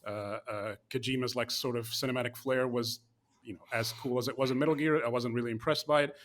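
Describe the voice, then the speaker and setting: A man co-hosting a casual video game chat show. His voice sits at an ordinary middle pitch. He talks in rambling, opinionated bursts, circling back through fillers and restarts. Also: steady tone, quick pace, fairly bright tone. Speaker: podcast co-host.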